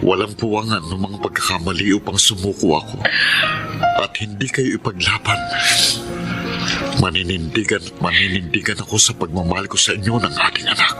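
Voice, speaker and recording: A man's voice: low voice, fairly even intonation, a little fast, over loud music, natural voice. An actor playing a steadfast, loving husband and father in a radio drama, with an earnest, solemn delivery. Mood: earnest devotion.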